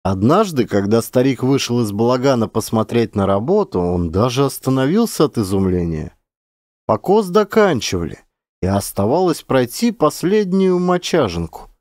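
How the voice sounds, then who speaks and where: Male narrator for a classic tale, expressive actor's reading, very varied intonation, dry close sound. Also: medium pitch, moderate pace, no echo. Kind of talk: expressive literary storytelling.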